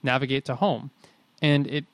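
A man's voice: mid-range voice, steady tone, normal speed, dry recording. Dry-sounding wry man co-hosting. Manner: wry, jokey asides, restates ideas. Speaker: podcast co-host. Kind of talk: casual explanatory chat.

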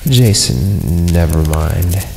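A man hosting a horror podcast, reading creepy stories aloud in an irreverent, halting way and stumbling over the text. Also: low voice, animated, gravelly, mellow. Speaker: podcast host and announcer. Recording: long reverb on voice, music underneath. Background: eerie horror film score.

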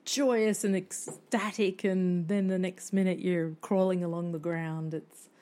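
A woman co-hosting a podcast in a casual, expressive and agreeable conversational way. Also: lowish voice, unhurried. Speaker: podcast co-host.

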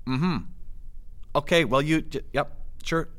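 Flustered Canadian official. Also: male voice, on the high side, steady tone, slow pace, a bit bright. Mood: flustered, blustering. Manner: stammering, hesitant, evasive. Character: flustered, needy male politician-type office boss. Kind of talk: satirical audio drama acting.

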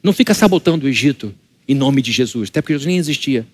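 A man's voice: mid-range voice, animated, racing, breathy edge. A male pastor with a Brazilian Portuguese accent delivering a conversational church sermon, firing rhetorical questions at his congregation. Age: adult, married.

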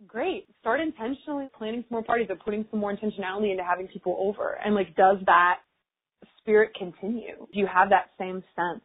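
A woman's voice: mid-range voice, fast talker, slightly rough. Woman on a tinny phone line. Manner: chatty, frequent "like" fillers. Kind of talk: casual reflective chat.

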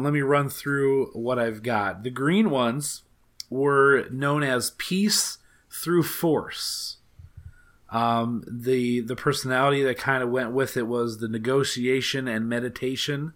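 A man with a raspy voice hosting a podcast, talking casually and rambling with many fillers. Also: mid-range voice, fairly bright tone. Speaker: podcast host.

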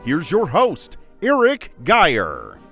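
Animated male announcer over music. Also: on the high side, drawn-out words. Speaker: podcast intro announcer. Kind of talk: scripted promotional announcement.

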